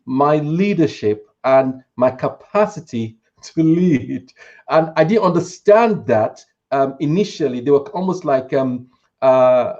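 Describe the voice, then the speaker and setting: Measured man, slightly dull sound. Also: medium pitch, darkish tone. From Nigeria. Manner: measured, hesitant, careful phrasing. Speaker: church leader on a panel. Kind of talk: panel discussion, measured anecdote.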